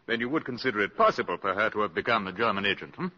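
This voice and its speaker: A forceful, probing intelligence officer. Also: male voice, lowish voice, expressive, rapid-fire, husky, heavily acted. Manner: probing, businesslike. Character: brisk, probing British counter-espionage officer. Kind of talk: 1950s radio drama acting. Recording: dull 1950s radio broadcast.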